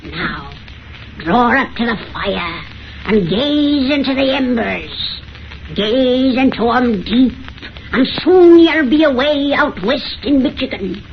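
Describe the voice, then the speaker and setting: A cackling crone over a hundred tells horror tales in rustic dialect. Her cracked voice creeps along very slowly and swoops with sinister glee, on a muffled vintage radio recording. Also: a little high, expressive, a little hiss or hum. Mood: gleefully sinister. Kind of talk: 1930s horror radio acting.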